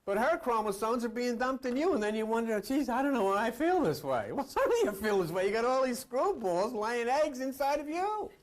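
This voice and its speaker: A man's voice: extremely high pitch, sing-song, a little fast, hardly any pauses. A man giving an esoteric sermon-style lecture as a spiritual teacher, emphatic, repeating phrases and asking rhetorical questions.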